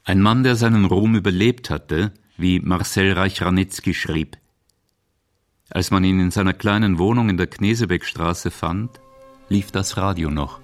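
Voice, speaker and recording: A man's voice: deep voice, a little slow, soft background music. A man reading scripted narration for a radio documentary feature.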